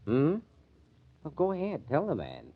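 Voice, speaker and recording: An actor playing a blunt, scornful club owner who talks in sarcastic tough slang in a 1950s radio drama. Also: male voice, a little high, wildly swooping pitch, normal speed. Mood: taunting, contemptuous. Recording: dull lo-fi old radio recording.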